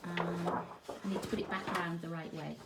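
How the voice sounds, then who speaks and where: A woman's voice: fairly low pitch, steady tone, a little fast. A woman with a scratchy sore throat, hosting a casual live craft tutorial at her desk and greeting viewers by name. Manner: chatty, greets viewers by name, self-deprecating. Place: craft desk indoors, overhead camera on hands.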